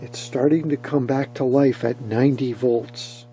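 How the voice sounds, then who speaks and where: A man with a husky voice giving a casual, hands-on technical commentary, thinking aloud as he works. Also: mid-range voice, steady tone, measured pace, dark tone, slight background noise. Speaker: host of an electronics repair vlog.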